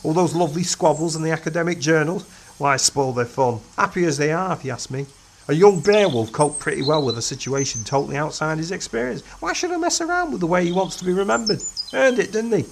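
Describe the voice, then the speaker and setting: A man's voice: fairly high pitch, sing-song, fast talker, creaky, slight background noise. A reader voicing a blunt, dry-humoured male time-traveller who talks in a casual, clipped way.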